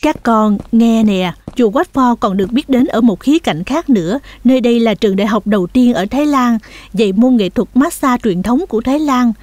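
A woman voicing a patient, cheerful mother goat in a children's cartoon, explaining things in a motherly, gently teasing way. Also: a little high, lively intonation, a little fast, no echo, natural voice. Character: patient, cheerful mother goat guiding her kids on tours.

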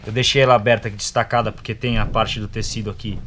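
A man's voice: on the low side, flat delivery, fast talker, a bit bright. A young man with a Brazilian accent giving a casual, slangy product review in short clipped phrases. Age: young adult. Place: indoors in a motorcycle gear shop. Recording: clear background noise, shop ambience.

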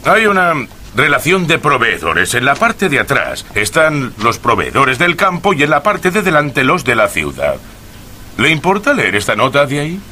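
An actor playing a surly, quick-tempered goose seller in a radio drama, with a brusque, blustering manner. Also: male voice, medium pitch, huge pitch swings, bright, forceful tone, a little hiss or hum. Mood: smug, challenging. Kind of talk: dubbed radio drama acting.

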